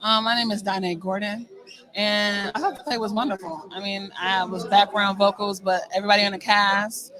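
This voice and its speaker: A woman with a medium-pitched voice answers questions as an interview guest. She is a background vocalist and talks casually. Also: steady tone, normal speed, crowd noise.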